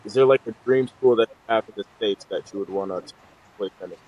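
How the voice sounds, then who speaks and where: A man's voice: lowish voice, fast, lo-fi sound. A man from Africa co-hosting a podcast, chatting casually and dropping joking asides. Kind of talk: casual interview questions.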